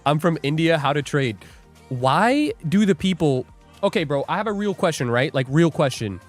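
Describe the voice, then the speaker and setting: A young man hosts a live trading stream, joking, rambling and laughing as he reads out his chat. His voice sits a little high for a man. Faint music plays under the talk. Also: animated, a little slow, pauses often. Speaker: livestream day trader host. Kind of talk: casual livestream chat.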